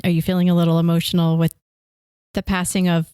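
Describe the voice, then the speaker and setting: Gentle woman interviewer, slightly low voice, dry sound. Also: level tone, fast talker, smooth, dry recording. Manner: gentle, asks questions. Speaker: podcast co-host. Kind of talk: casual podcast interview.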